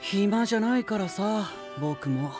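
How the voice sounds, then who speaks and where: A man's voice: high-pitched, expressive, measured pace, music in the background. A male actor playing a boyish star knight, casual, blunt and self-assured, in an anime audio drama. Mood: casual, greedy. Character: easygoing, boyish star knight, cocky and sweet-toothed.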